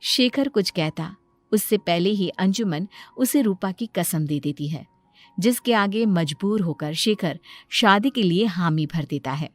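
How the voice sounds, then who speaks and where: कहानी सुनाती महिला, पीछे संगीत. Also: female voice, lowish voice, lively intonation, unhurried, no echo. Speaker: story narrator. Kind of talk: scripted dramatic storytelling. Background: background music.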